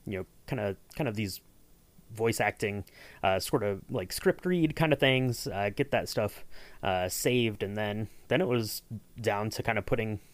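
A man's voice: lowish voice, unhurried, no room sound. A man hosting a casual behind-the-scenes podcast, talking in a loose, hesitant, rambling way. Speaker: podcast host.

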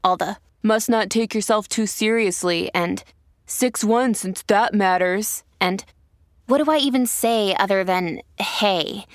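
A woman's voice: medium pitch, unhurried, dry recording, studio quality. A woman doing a modern, conversational scripted voice-over for an advertisement.

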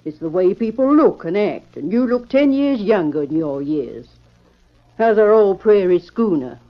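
An actress playing an aging rancher's wife, steady and plucky, folksy and gently chiding, in 1940s radio style. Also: female voice, mid-range voice, a little slow, close to an everyday voice. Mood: encouraging. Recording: muffled old radio recording.

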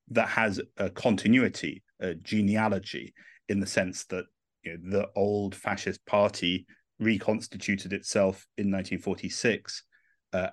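English guy, 30s, lots of uhs, kinda low voice, dry mic. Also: dry recording. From England. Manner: thoughtful, many "uh" fillers. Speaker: author and guest. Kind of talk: podcast interview.

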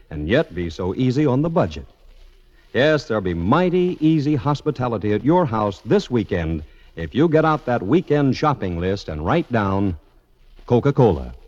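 Man, American accent, 1950s radio announcer, scripted delivery, highly varied intonation, muffled vintage broadcast sound. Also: darkish tone. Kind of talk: 1950s radio announcing, scripted sponsor pitch and song introductions. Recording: dull vintage radio recording, faint music.

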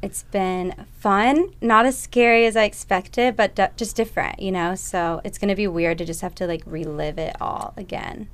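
A young woman talks in a bright, easy way, filling her speech with "like." Her voice is smooth and clear, and she sounds relaxed and talkative. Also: medium pitch, normal speed, hardly any pauses. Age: young adult. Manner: chatty, upbeat, many "like"s. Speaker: guest. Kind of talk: casual podcast chat.

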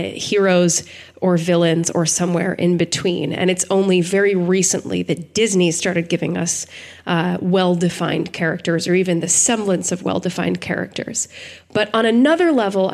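A woman's voice: lowish voice, steady tone, normal speed, creaky. A woman co-hosting a podcast, talking in a reflective, analytical way with articulate, thoughtful phrasing. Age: adult. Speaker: podcast co-host.

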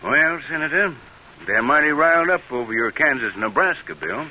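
An actor playing a folksy, deferential political supporter in an old radio drama. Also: male voice, a little high, expressive, normal speed, sharp tone. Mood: eager, folksy. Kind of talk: 1940s radio acting. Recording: hissy, noisy vintage radio recording.

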